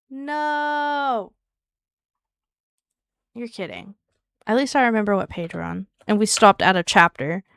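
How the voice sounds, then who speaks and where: A woman streamer chatting casually with her viewers, with a soft, soothing voice and a chatty, giggly manner. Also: medium pitch, dry recording, studio quality. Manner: chatty and giggly, talks to chat by name.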